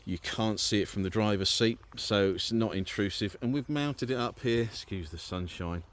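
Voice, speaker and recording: A man's voice: fairly low pitch, moderate pace. A British man giving a casual, chatty, easygoing walk-through as a vehicle owner, talking informally to a handheld camera. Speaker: vehicle owner presenting an installation. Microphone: handheld camera or phone.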